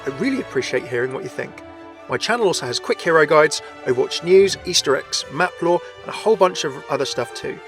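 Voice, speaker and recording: A man's voice: on the high side, animated, fast, soft background music. A man hosting a scripted game lore video, chatty and often addressing his viewers directly.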